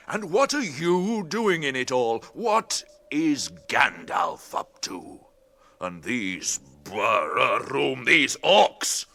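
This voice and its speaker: An actor in a radio drama playing an ancient, ponderous Ent, a tree herder who speaks deliberately, humming and musing. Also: male voice, mid-range voice, pushed and bright, exaggerated voice.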